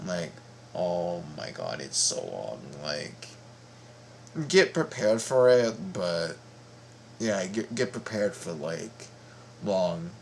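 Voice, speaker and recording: A man giving casual reaction commentary as a video host, halting, informal and repeating himself. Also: sits low, big pitch swings, vocal fry, slight background noise.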